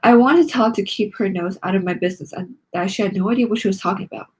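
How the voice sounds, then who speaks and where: Female read-along narrator, mid-range with a breathy rasp, dramatic delivery for a children's book. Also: medium pitch, racing, a little mellow, poor audio. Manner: dramatic, acting out the lines. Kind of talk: expressive storybook reading.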